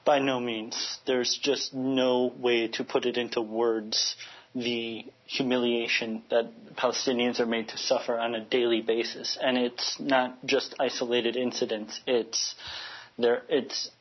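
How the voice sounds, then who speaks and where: A man's voice: medium pitch, level tone, measured pace, dull audio. A man from Chicago speaking earnestly as a radio interview guest, often restarting his phrases as he searches for words. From United States, Chicago.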